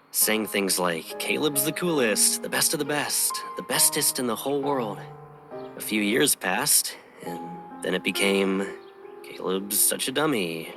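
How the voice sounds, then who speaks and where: A man playing a teasing, protective older childhood friend, a young pilot in training, in dramatic romance game dialogue, with a playful, unhurried delivery. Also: lowish voice. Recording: music behind the voice.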